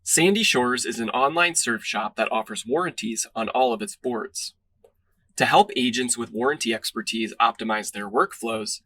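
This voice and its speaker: A man's voice: mid-range voice, sharp tone, dry recording. A man reading a scripted product demo narration in a polished, instructional way.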